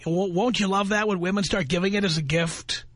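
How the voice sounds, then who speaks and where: A man's voice: high-pitched, fairly even intonation, fairly quick, fairly bright tone, no room sound. A man hosting a talk radio show, brash and sarcastic as he banters with callers. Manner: brash, sarcastic, mocking put-on voices.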